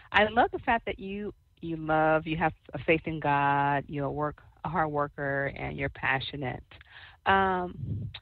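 A woman co-host with a soft voice interviewing a guest, affirming and churchy in her talk. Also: low voice, vocal fry, dry recording, phone-quality sound. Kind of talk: faith-based interview.

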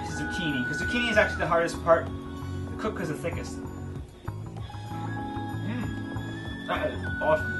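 A man in his thirties hosting an energetic, chatty cooking demo outdoors in his backyard garden. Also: fairly high pitch, recorded from a distance. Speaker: cooking show host. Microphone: far camera, outdoors.

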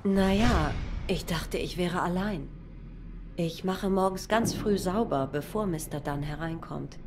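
Low-pitched, down-to-earth waitress. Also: female voice, unhurried, background noise. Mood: subdued, shaken. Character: obliging, down-to-earth cat waitress, young adult. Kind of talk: noir video game dialogue.

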